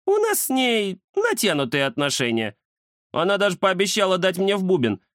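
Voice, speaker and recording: A man voicing a chatty, mischievous young cat with an excitable, teasing manner in a children's story. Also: sits high, normal speed, no echo, studio quality, voice pushed up, heavily acted. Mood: chatty, aggrieved. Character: cheeky chatty round-faced young male cat, mischievous.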